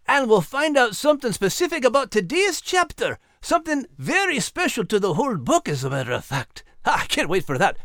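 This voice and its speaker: Grumbly cheeky scottish dog, gravelly, super animated up and down, kids audio drama. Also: medium pitch, no echo, clean studio sound. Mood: jolly. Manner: blustery, protesting, folksy. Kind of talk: scripted character acting for children's podcast.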